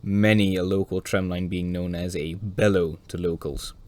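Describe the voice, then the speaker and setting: Hoarse male narrator, deep-pitched, for a dry-witted documentary voice-over. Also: little pitch movement, moderate pace, a little mellow. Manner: dry, wry asides. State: hoarse from a cold. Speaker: railway video presenter.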